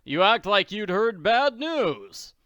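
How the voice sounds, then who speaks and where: A man voicing a bluff wealthy middle-aged tycoon, hearty and teasing, in a crisp dry reading. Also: sits high, sing-song, slow, fairly bright tone, dry recording, lo-fi sound, voice pushed up, full character voice.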